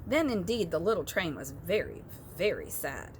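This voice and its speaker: A woman's voice: lowish voice, very animated, normal speed. A woman narrating a children's story expressively as a storybook reader. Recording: clear background noise with birdsong.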